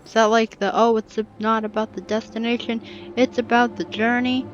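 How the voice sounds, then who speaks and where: A woman's voice: a little high, little pitch movement, moderate pace, a little hiss or hum. A woman giving casual gameplay commentary, reacting to the dialogue with joking sarcasm, on a slightly muffled mic. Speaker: game streamer.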